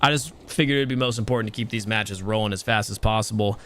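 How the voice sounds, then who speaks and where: A young man hosting and casting a live mobile game tournament, chatty and talking to his chat in a soothing, relaxed voice. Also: fairly low pitch, quick pace, noisy background. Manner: chatty, talks to chat, restarts words. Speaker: tournament host and caster. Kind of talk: live stream esports commentary.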